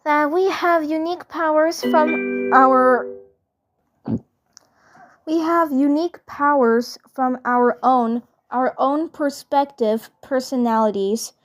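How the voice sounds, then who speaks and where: An earnest young cartoon superhero girl, acted by a woman with a higher voice. She is the polite, responsible leader, reassuring and unhurried as she repeats her points. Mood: proud, earnest.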